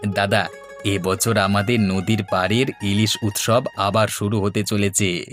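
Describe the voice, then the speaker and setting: A man's voice: lowish voice, level tone, measured pace, a bit bright, faint music behind. A man voicing an earnest, modest and respectful village man in a cartoon. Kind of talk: Bengali cartoon dubbing.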